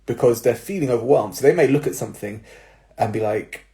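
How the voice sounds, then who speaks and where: Man, young adult, stuffy nose, slightly nasal, mellow voice, casual coaching advice, explanatory with fillers. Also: medium pitch, fairly quick. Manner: explanatory, with tag questions and fillers. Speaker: personal trainer and jiu-jitsu coach. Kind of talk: casual advice talk to viewers.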